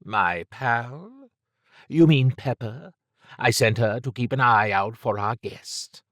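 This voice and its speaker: A man's voice: medium pitch, slow, fairly bright tone, dry recording, clean studio sound, pitched above the performer's own voice. A man voicing a guarded woman agent, blunt and clipped, with an edge to her words.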